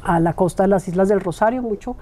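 A woman in her forties from Colombia, talking as an interview guest in a relaxed, thoughtful way and laughing easily. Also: mid-range voice, fast, slight background noise. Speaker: interviewed guest, club member. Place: bright room with large windows, indoors.

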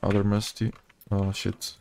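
Mellow, flat Portuguese-accented man. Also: lowish voice, unhurried, creaky. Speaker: gaming video creator. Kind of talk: casual gameplay commentary.

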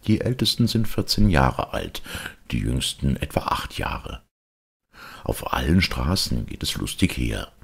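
A middle-aged man reading a children's story aloud as an expressive audiobook narrator. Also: extremely low pitch, unhurried. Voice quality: gravelly, husky.

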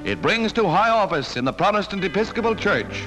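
A man's voice: high voice, big pitch swings, fairly quick, rough recording. A man with an American accent narrating a 1940s newsreel in a formal, declamatory announcer's style. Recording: 1940s newsreel soundtrack with music.

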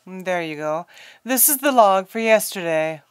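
An easygoing female probation officer in a radio drama, played by a woman. She is helpful and matter-of-fact, with a medium-pitched voice recorded very dry. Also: lively intonation, measured pace, smooth, no echo, close to an everyday voice. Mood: helpful, casual.

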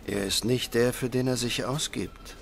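A man's voice: mid-range voice, fairly even intonation, normal speed, gravelly, a little hiss or hum, natural voice. An actor playing a chatty but wary male janitor in a German audio drama, with a hesitant, folksy manner. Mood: warning, wary.

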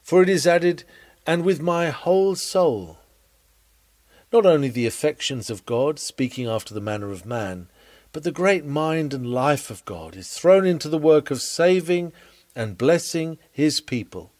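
A man reading an old sermon aloud in an oratorical preaching style, solemn, measured and emphatic. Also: medium pitch, darkish tone, no room sound. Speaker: sermon reader.

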